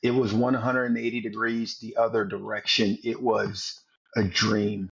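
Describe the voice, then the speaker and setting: A man's voice: fairly low pitch, flat delivery, measured pace, vocal fry, a bit bright, breathy edge, muffled recording. A man in his forties, a former Navy SEAL, earnestly telling his life story as a podcast guest, often repeating words as he goes. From United States. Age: 40s. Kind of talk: storytelling interview.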